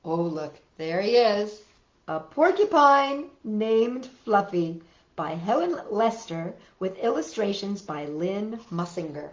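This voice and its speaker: A woman hosting a children's storytime, reading picture books aloud with expressive, exaggerated delivery. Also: mid-range voice, takes it slowly, lo-fi sound. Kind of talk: animated picture-book read-aloud and sing-along for young children. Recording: roomy echo with guitar music.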